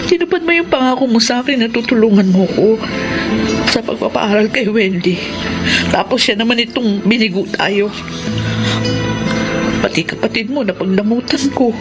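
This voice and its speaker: An actress playing a contrite, earnest wife in a Filipino radio drama, speaking with a Filipino accent. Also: female voice, a little high, close to an everyday voice, low-quality recording. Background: dramatic background music.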